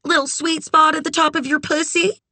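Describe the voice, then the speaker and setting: A woman's voice: high voice, little pitch movement, moderate pace, bright, forceful tone, no room sound, voice pushed up. A woman voicing a brassy, worldly, amused Texan woman in an audiobook, sugary and frank, full of teasing endearments. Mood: bawdy, coaxing. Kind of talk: audiobook character dialogue.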